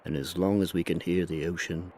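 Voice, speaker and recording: Deep-voiced, dreamy late-night radio DJ played by a man. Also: fairly even intonation, background noise, lightly acted. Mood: soothing, dreamy. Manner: soothing, unhurried, hypnotic delivery.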